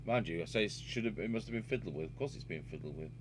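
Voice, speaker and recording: A man's voice: low voice, lively intonation, quick pace, background noise. A man giving a casual, unscripted hands-on repair demonstration, musing aloud as he works. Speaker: radio repair hobbyist and video presenter.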